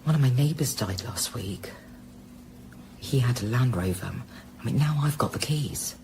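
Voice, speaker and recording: A woman's voice: rumbling low, lively intonation, normal speed, darkish tone, a little hiss or hum. An actress playing a resourceful, anxious woman scientist in a naturalistic radio drama, conversational and matter-of-fact. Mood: practical.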